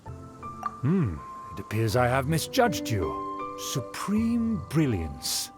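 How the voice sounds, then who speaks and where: A man's voice: mid-range voice, wildly swooping pitch, extremely slow, over music, heavily acted. An actor playing a haughty, condescending nobleman in a video game, with pompous, formal, theatrical delivery. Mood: pompous approval. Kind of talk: English video game voice acting.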